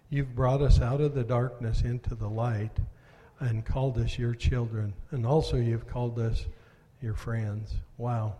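A man leads an opening prayer, earnest and halting, filling his pauses with small sounds. His voice is soft and mellow. The church hall lends it a long reverb. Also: medium pitch. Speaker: church member leading prayer. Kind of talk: spoken prayer.

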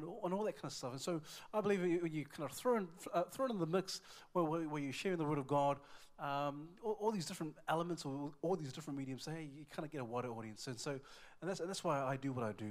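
A man from New Zealand with a New Zealand accent addresses a church congregation as a visiting evangelist. His talk is lively and stumbling, full of repeated words and shouts of praise. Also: fairly high pitch. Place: church service.

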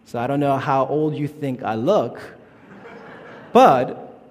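A man in his thirties, from South Korea, preaching as a youth pastor in a halting, informal, friendly way. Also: medium pitch, expressive, a little mellow. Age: 30s. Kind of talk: sermon. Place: church sanctuary. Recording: long church reverb.

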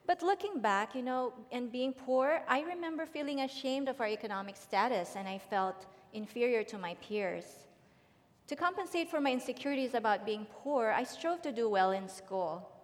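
A woman's voice: on the high side, expressive, normal speed. A middle-aged woman from the Philippines, giving a prepared personal testimony in a large church hall. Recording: long hall reverb.

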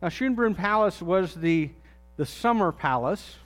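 A man preaching a sermon in a conversational way, dropping low and soft on key phrases. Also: lively intonation, unhurried, faint music behind. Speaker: pastor.

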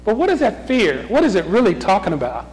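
Middle-aged man, high bouncy voice, runs a Bible class with questions and chuckles, long room reverb. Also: wildly swooping pitch, fast, a little mellow. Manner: questioning, chuckling, restarts often. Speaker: Bible study leader. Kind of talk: leading a group Bible discussion.

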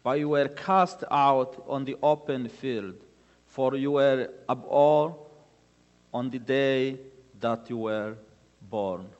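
A man with a Rwandan accent gives an earnest church testimony in deliberate, short, halting phrases. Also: medium pitch, darkish tone, lo-fi sound. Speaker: guest speaker giving testimony. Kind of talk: church testimony with scripture readings. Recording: reverberant hall with long echo.

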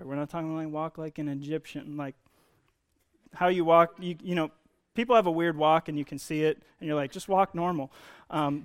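A man's voice: fairly high pitch, clean tone. A man giving a conversational sermon, explaining Bible teaching in an informal way with emphasis on key words.

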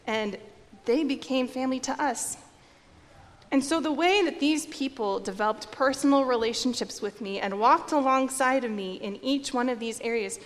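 Woman pastor preaching in a reverberant church. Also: fairly high pitch. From Iowa, United States. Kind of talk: sermon. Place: church sanctuary.

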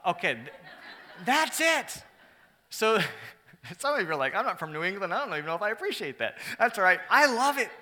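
A man preaching a sermon to his congregation, moving between loud, emphatic stretches and hushed, earnest ones, with joking asides to the room. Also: high-pitched, big pitch swings, a little fast. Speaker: pastor.

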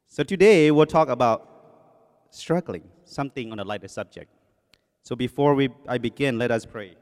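Thai-accented man, forties, guest preacher at a podium; chatty, self-mocking, full of "you know"s; mid-pitched voice with a long church-hall reverb. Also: normal speed, a little mellow. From Thailand. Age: 40s. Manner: self-deprecating, joking, with many fillers. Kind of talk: sermon introduction. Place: church sanctuary. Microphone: podium microphone.

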